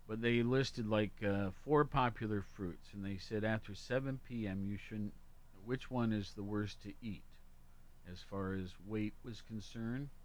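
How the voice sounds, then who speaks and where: A man co-hosting a casual talk, reading humorous lists aloud deliberately and emphatically, with offhand asides. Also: on the low side, fairly even intonation. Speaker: talk show co-host.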